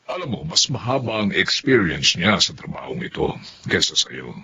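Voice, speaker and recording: Patronizing Filipino tycoon, bright forceful voice. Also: male voice, deep voice, big pitch swings, moderate pace, slightly rough, full character voice. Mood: smug, businesslike. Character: smooth-talking, businesslike rich male building client, condescending. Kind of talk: Filipino radio drama acting.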